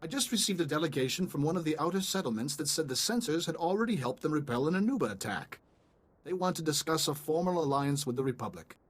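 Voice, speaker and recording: A man's voice: on the high side, animated, fast. A man playing an eager, polite ambassador in a video game, chatty and apologetic in manner.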